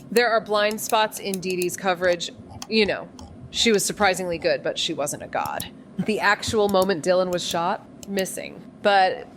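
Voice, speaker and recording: An obsessive young woman detective in a noir audio drama. Her voice sits on the low side, with a wry, defiant, foul-mouthed edge. There is some ambient noise behind her. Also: fairly bright tone. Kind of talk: first-person audio drama narration and dialogue.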